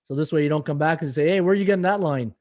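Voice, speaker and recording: A slightly hoarse middle-aged man hosting a live sports betting podcast, rambling through stats and viewer comments with many fillers. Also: on the high side, racing, a little mellow. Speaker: podcast host and sports handicapper. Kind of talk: casual live-stream betting analysis.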